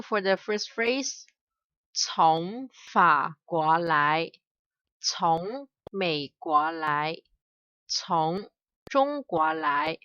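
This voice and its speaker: Young woman tutor, very slow and halting, bright tone, dull dry lo-fi take. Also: mid-range voice, no room sound. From Hong Kong. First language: Cantonese. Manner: hesitant, many filler "uh"s, careful repetition of example phrases. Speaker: language teacher. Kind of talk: slow explanatory grammar lesson. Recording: dull, slightly lo-fi dry recording.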